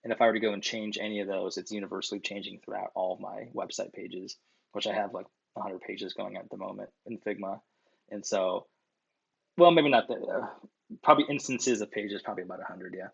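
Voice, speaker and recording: A man's voice: fairly low pitch, fairly even intonation, brisk, gravelly, husky. A man in his thirties, a designer guest, chatting over a video call from his home office, with lots of fillers. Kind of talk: casual interview answers. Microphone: video call webcam. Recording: dull lo-fi video call audio.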